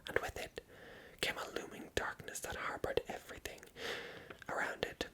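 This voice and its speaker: ASMR storyteller: unvoiced breathy whisper, very bright sibilant edge, gentle hushed read. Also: normal speed. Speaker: ASMR video host reading aloud.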